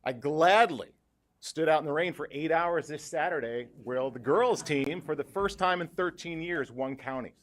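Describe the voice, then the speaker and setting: A man in his fifties from Western New York, a parent making a loud, animated public comment at a school board meeting, standing back from the podium mic. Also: a little high, moderate pace, very noisy. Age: 50s. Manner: loud, animated, numbers-driven speech. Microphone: podium mic, stepped back from it.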